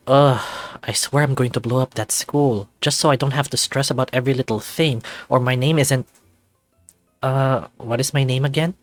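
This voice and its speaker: A man's voice: mid-range voice, dry recording, natural voice. A man voicing a sarcastic, anxious young furry student in a visual novel, narrating in a wry, self-deprecating, conversational way. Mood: fretful. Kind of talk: visual novel character voice-over.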